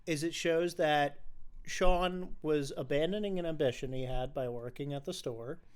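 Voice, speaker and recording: A man co-hosting a film podcast in casual discussion, talking haltingly and often repeating his phrases. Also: fairly high pitch, clean tone.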